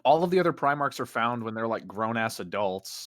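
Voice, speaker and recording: A man co-hosting a casual podcast roundtable, talking in animated, joking bursts. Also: medium pitch, brisk, no room sound, clean studio sound.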